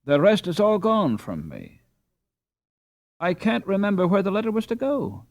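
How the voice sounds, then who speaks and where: Man reading as a halting, dazed injured husband, animated pitch. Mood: earnest, straining. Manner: halting, groping for words. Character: injured, dazed Dutch husband straining to remember. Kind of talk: audiobook character dialogue.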